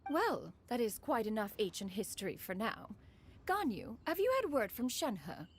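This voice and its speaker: A woman's voice: on the high side, big pitch swings, normal speed, a little hiss or hum. A woman playing a proud immortal bird adeptus in a video game, speaking imperiously in formal, archaic diction. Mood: dismissive, then anxious, probing. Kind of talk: scripted game dialogue. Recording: faint game music behind.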